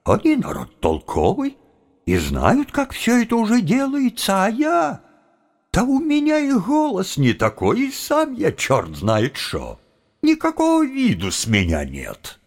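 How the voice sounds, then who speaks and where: A bewildered young seminary philosopher in an audio drama, comically evasive and wheedling. His voice swoops wildly from low to high and sits on the high side, while the words come slowly in folksy, roundabout protests. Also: huge pitch swings, exaggerated voice. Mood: evasive pleading.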